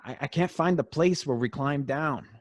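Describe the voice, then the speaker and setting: An actor playing a nervous, grumbling accomplice in an old-time radio drama, stammering and complaining. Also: male voice, a little high, normal speed, no room sound, lightly acted. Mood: alarmed.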